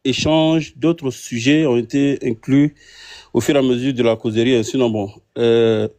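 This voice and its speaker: Mellow, halting West African imam. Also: male voice, mid-range voice, steady tone. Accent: West African French. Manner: halting, circling explanations with frequent tag words. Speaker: imam taking part in a debate. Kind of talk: impromptu debate.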